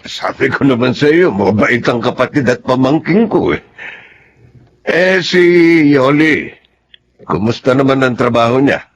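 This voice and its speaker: A man playing a concerned, advising uncle in a Filipino radio drama, husky, with a Filipino accent. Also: mid-range voice, big pitch swings, measured pace, long pauses, full character voice. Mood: genial. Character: concerned, advising uncle, a well-off older gentleman.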